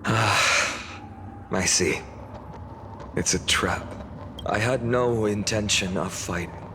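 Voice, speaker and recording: Slow, low-voiced solemn swordsman. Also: background noise. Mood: grave, resigned. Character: calm, solemn, mysterious wandering swordsman with resolute purpose. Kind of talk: English video game voice acting. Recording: loud music behind the voice.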